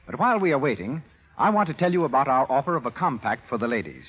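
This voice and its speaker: Old-time radio announcer guy, american, lively pitch swings, formal and careful, dull reverby recording. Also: fast, breathy edge. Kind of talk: 1930s radio announcing, scripted advertising.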